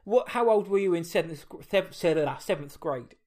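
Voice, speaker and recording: Host: Essex man, a touch high-voiced, chatty, rambles and corrects himself mid-question. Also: lively intonation, normal speed, a little mellow. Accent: Essex English. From England. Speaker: podcast host. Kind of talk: casual interview chat.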